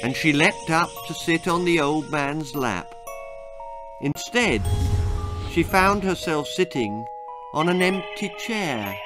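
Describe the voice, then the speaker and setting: A man's voice: on the high side, expressive, slow, music in the background. A man reading his own spooky, comic children's story aloud in a theatrical, suspenseful way. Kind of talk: dramatic children's horror-comedy storytelling.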